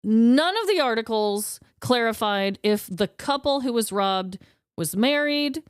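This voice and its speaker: A woman co-hosts a podcast in loose, animated chat, laughing easily between thoughts. Her voice sits a little high, with a smooth, clear tone and a swinging, lively pitch. She is recorded close and dry. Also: measured pace, no room sound. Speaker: podcast co-host. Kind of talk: casual chat.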